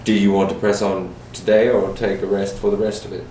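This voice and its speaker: A man's voice: lowish voice, level tone, a little fast, dark tone. A jokey, sweary man bantering casually as a player at a tabletop role-playing game. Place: echoey room, live-streamed game session. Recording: roomy, distant mic, noisy background.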